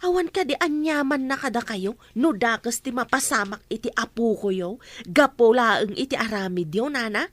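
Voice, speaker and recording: An outspoken, caring neighbour in an Ilocano radio drama. Her voice is high and earnest with an Ilocano accent, recorded very dry. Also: animated, moderate pace, a bit bright, no room sound. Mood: reproachful. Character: outspoken, sympathetic woman neighbour defending a wronged mother.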